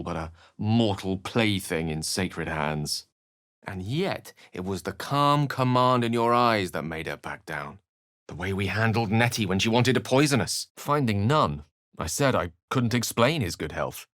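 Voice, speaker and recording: An actor playing a courteous, erudite wizard adventurer in a video game, with eloquent, measured, theatrical phrasing and a wry, earnest temper. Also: male voice, deep voice, animated, a bit bright, no room sound, clean studio sound. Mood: reflective. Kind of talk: video game character lines and narration.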